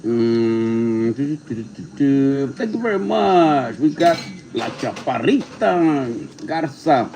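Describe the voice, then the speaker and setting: A man in his fifties from California hosting a casual livestream from home, reading out viewer comments and names, thanking people warmly and slipping in Spanish phrases. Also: mid-range voice, expressive, noisy background. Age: 50s. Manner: warm, thankful, reads out viewer names slowly; mixes Spanish phrases into English. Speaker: livestream host.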